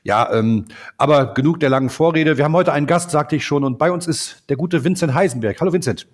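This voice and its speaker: A male podcast host with a husky, slightly hoarse voice and a blocked nose from a cold. He talks casually, with plenty of filler sounds, and steers a relaxed interview. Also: fairly high pitch, fast. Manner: chatty, lots of filler sounds.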